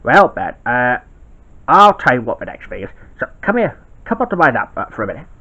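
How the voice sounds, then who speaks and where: Someone doing a bumbling, bright-voiced dad. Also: medium pitch, big pitch swings, fairly quick, exaggerated voice. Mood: explaining. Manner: rambling, hesitant, full of ums. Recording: dull lo-fi home recording.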